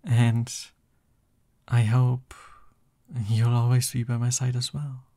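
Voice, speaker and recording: A man playing a soft-voiced, lovestruck villain boyfriend in an intimate ASMR roleplay, bashful and tender as he talks. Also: medium pitch, monotone, slow. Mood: tender, doting. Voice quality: soft, breathy. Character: lovestruck, flustered supervillain boyfriend, soft and doting.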